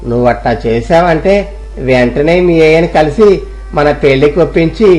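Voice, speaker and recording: A man's voice: on the high side, lively intonation, a little fast, a little mellow, close to an everyday voice. A man voicing a naive young village farmer hungry for fame, talking in a rustic, coaxing way, over background music. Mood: eager. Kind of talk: storybook voice acting.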